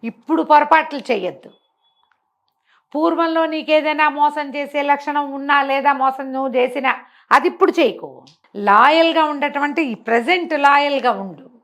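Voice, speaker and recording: A woman's voice: sits high, normal speed, fairly bright tone. A woman giving a conversational moral talk, teaching emphatically and often posing rhetorical questions. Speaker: storyteller explaining moral teachings.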